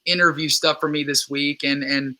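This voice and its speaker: A man in his twenties from Louisiana talks casually as a guest, rambling and earnest, circling back with restarts and fillers. His tone is strikingly bright and ringing. His voice sits a little high for a man. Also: fairly even intonation, normal speed. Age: 20s. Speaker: guest musician. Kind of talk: casual interview chat.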